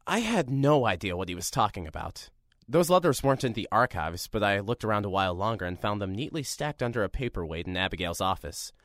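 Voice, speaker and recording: A man's voice: on the low side, no echo, natural voice. A young man narrating an audio drama in first person as a reporter, dry and matter-of-fact. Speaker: narrator and lead character, a young radio reporter.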